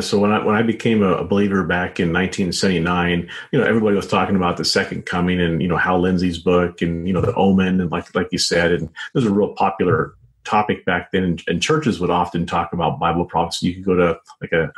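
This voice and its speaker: A middle-aged or older man answering as an interview guest, speaking conversationally and haltingly, often repeating words and saying "you know". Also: deep voice. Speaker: interview guest, journalist and author.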